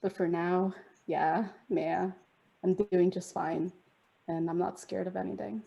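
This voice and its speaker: A young woman performs her spoken word poem over a video call, her voice mellow and dark-toned. She keeps her pitch level and even, speaking in an intimate, measured way that settles toward a hush. The pacing feels deliberate, each line given weight. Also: vocal fry, highs cut off.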